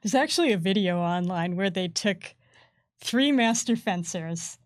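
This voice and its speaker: A woman co-hosting a casual livestream, playful and quick to laugh. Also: mid-range voice, expressive, dry recording, clean studio sound. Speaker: co-host.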